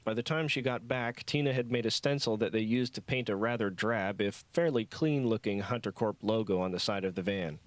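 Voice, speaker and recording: A man narrates a novel in a lowish voice. The reading is scripted storytelling, captured very dry with no room sound. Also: brisk, few pauses, no room sound. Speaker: audiobook narrator.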